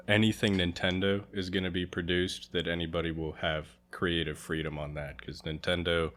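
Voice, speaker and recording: A man's voice: low-pitched, level tone, normal speed, fairly bright tone. A man speaking as a guest reviewer in a casual discussion, agreeable and plain-spoken.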